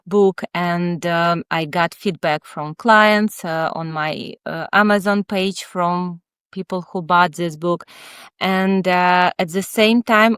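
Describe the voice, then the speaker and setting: A woman, not an English speaker, answering interview questions in a halting, candid, thoughtful way with many pauses. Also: lowish voice, fairly bright tone, smooth, no echo, studio quality. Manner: candid, reflective. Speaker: guest author, child psychotherapist.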